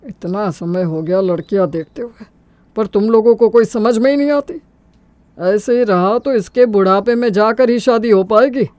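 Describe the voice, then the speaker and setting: A man's voice: high voice, normal speed, a little mellow, no room sound, close to an everyday voice. A man voicing a calculating middle-aged father of a groom, mock-humble and persuasive, in a Hindi cartoon story. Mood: grumbling, weary.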